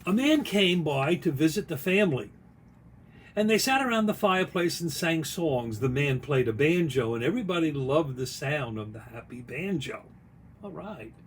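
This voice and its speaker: A man reading their own picture book aloud in an expressive, folksy way, with chatty asides along the way. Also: a little high, slight background noise. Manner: folksy, expressive, pausing to comment on the story.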